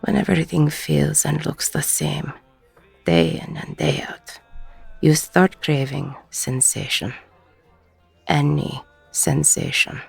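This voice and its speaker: A woman playing a weary, regretful ex-convict bar owner in an intimate audio roleplay, with a husky, gravelly voice and a reflective delivery full of pauses. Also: deep voice, steady tone, faint music behind, full character voice. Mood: wry, amused. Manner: slow, reflective, pausing mid-sentence.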